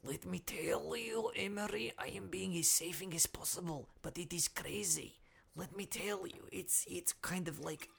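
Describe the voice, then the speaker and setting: Ukrainian news correspondent, comic, anxious, hushed, raspy breath, gravelly fry, broken English, secretive. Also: male voice, high-pitched, measured pace, heavily acted. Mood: wary. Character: anxious Ukrainian foreign correspondent man, whispering, hapless. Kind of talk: comic fake news field report.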